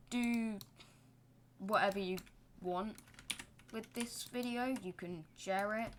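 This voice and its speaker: A woman's voice: mid-range voice, a little hiss or hum. A young woman giving casual, self-deprecating commentary while building in a game, with rambling joking asides. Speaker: gaming channel host.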